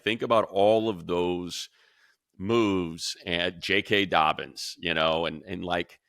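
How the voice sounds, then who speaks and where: Deep, gravelly man talking sports. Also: fairly bright tone, no echo, clean studio sound. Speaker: sports podcast host. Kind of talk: casual sports talk and analysis.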